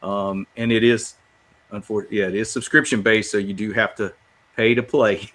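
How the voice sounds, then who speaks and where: Low-voiced man in casual podcast chat. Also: level tone, normal speed, a bit bright.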